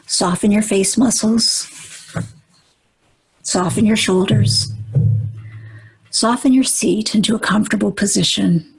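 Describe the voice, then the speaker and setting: Female meditation guide: very husky and breathy, gentle, unhurried, with pauses between short instructions. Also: medium pitch, steady tone, faint music behind, poor audio. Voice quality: breathy, husky, often near whisper.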